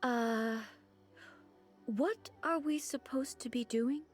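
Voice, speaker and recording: A stately female ruler speaks slowly and deliberately in a slightly raised, formal voice. She stays stiff and earnest, and soft game music hums behind her. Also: high voice. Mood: puzzled. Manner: measured, formal, earnest diction. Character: regal composed female ruler, stiff and formal, out of touch with everyday life. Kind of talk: video game cutscene voice acting.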